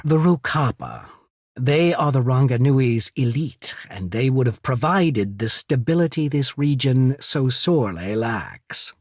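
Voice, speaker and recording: A husky, shrewd naval diplomat. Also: male voice, mid-range voice, no echo, studio quality, exaggerated voice. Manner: measured, formal, diplomatic, persuasive. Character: shrewd, courteous male naval emissary of an island empire. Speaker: video game character. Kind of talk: scripted fantasy game dialogue.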